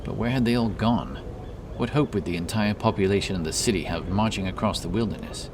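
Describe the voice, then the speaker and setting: A man's voice: fairly low pitch, brisk, noisy background. A man narrating a dramatic fantasy story as an audiobook, over background ambience. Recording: clear background ambience under voice.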